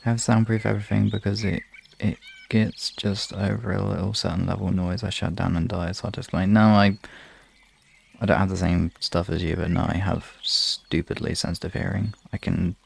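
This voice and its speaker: A man with a gravelly, husky voice chatting casually to live-stream listeners in an informal, halting way with some swearing. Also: low-pitched, flat delivery.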